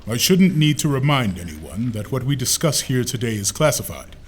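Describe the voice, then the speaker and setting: A man's voice: mid-range voice, big pitch swings, moderate pace, faint music behind, natural voice. A man playing an admiral who leads a classified summit in a clipped, businesslike tone. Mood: brisk. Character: brisk male admiral leading a secret summit.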